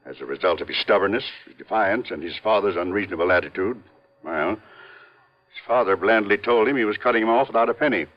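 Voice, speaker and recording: An actor playing an earnest, loyal American family lawyer in 1950s radio drama style, speaking in a measured, formal, emphatic way. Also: male voice, on the low side, close to an everyday voice. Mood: earnest, regretful. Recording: muffled old radio broadcast recording.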